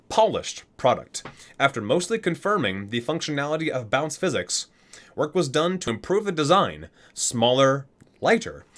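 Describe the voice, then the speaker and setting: An ASMR host, a man with a medium-pitched voice and very lively intonation. He alternates between reading aloud and chatty, casual asides, sounding like an enthusiastic geek.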